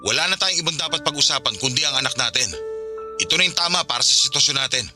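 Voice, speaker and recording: A man's voice: medium pitch, fast, over music, lightly acted. An actor playing a firm, exasperated young father in a radio drama, curtly refusing his former partner. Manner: curt, firm.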